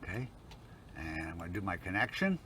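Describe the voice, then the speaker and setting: A man with a fairly low voice walks through a hobby technical demonstration. He is plain-spoken and informal, narrating each step as he does it. Some noise sits behind his voice in what sounds like a homemade recording. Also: big pitch swings, a little fast, bright, forceful tone. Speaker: hobbyist demonstrating a homemade telescope automation setup. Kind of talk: informal hands-on technical demo. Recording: noticeable background noise, homemade video.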